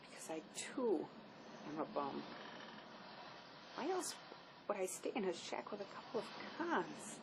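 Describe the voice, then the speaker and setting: A woman's voice: sing-song, measured pace, pauses often. An actress playing a weary, self-loathing woman who speaks bleakly and tersely in naturalistic drama, recorded on location. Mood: bitter. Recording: noisy location sound with ambient rumble.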